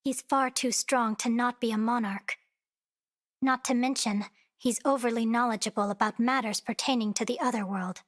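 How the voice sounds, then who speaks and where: Composed young game heroine, played by a woman: higher voice, polite, formal, analytical. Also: fairly even intonation, lightly acted. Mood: composed, curious. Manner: formal, measured. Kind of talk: video game voice acting.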